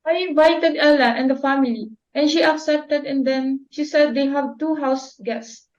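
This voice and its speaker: Muffled, high-voiced woman acting a flustered daughter. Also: fairly even intonation, moderate pace, close to an everyday voice. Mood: flustered, apologetic. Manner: apologetic, protesting. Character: flustered, reluctant young daughter of a society hostess. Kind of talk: dramatic reading of a stage play.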